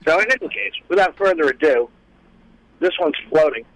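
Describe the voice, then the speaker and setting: A man chatting casually as a guest, explaining things in a rambling, halting way. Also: fairly high pitch, expressive, a bit bright, no echo, poor audio. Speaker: guest, musician. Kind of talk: casual chat.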